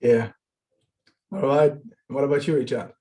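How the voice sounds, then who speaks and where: A man hosting an online panel, unhurried and friendly, calling on each guest by name over a video call. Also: mid-range voice, steady tone, mellow. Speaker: host and moderator.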